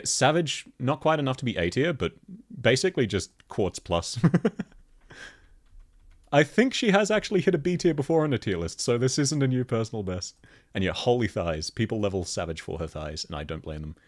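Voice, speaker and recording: Aussie guy, thick accent, low-ish voice, casual game chat with lots of laughing. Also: fairly quick. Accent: Australian. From Australia. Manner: chatty, laughs often, lively pitch jumps. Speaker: gaming video host. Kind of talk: casual commentary.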